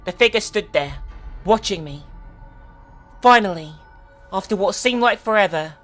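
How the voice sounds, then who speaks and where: A woman's voice: mid-range voice, lively intonation, moderate pace, natural voice. Frightened young boy played by a woman narrator, earnest and suspenseful horror-tale testimony over music. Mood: tense, fearful. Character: frightened young boy recounting a night attack. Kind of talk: dramatic creepypasta reading. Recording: music behind the voice.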